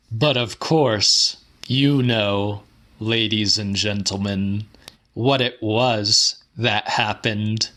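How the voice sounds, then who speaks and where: Slow, measured male audiobook narrator. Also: medium pitch, a bit bright. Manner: measured, theatrical, formal. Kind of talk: dramatic scripted narration of a weird horror story.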